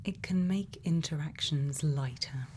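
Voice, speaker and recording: A woman doing a soft-spoken, often whispered ASMR roleplay as a caring scientist, speaking gently and without hurry in a soothing way. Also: deep voice, measured pace. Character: sweet, caring scientist speaking to a robot friend. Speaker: ASMR performer playing a scientist. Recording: faint background noise.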